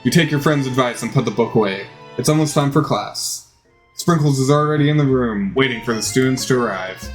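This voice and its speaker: Male gamer, dramatized game-text reading, medium pitch, background music. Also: a little fast. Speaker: gamer narrating a playthrough.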